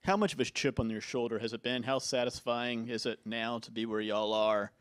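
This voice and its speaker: A man asking measured questions as a reporter at a postgame press conference. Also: medium pitch, a bit bright, clear, resonant tone, no echo.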